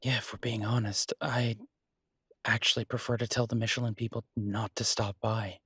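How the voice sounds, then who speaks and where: A man playing a devoted, easygoing chef and reassuring partner in an intimate audio roleplay, speaking softly and haltingly with a husky voice. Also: fairly low pitch, level tone, no room sound, clean studio sound. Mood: musing, frank.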